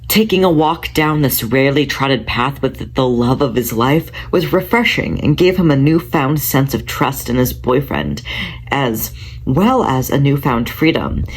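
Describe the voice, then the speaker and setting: A man reading fan fiction aloud in an even, unhurried storytelling manner. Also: mid-range voice.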